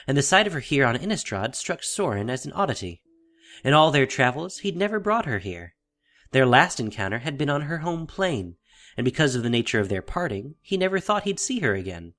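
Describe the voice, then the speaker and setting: A man narrating a fantasy audio drama in a deliberate, theatrical storytelling style. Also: a little high, very animated, bright, forceful tone. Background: music.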